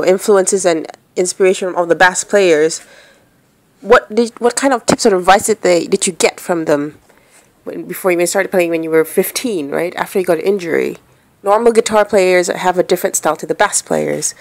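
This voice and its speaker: A woman's voice: fairly low pitch, a little fast. A woman radio interviewer asking conversational, rather wordy and rambling questions.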